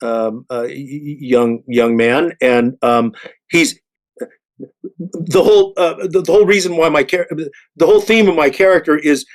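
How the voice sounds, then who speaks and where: Halting man in reflective discussion. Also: a little high. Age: middle-aged. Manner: halting, repeats and restarts words. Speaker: actor on a discussion panel. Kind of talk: reflective panel talk.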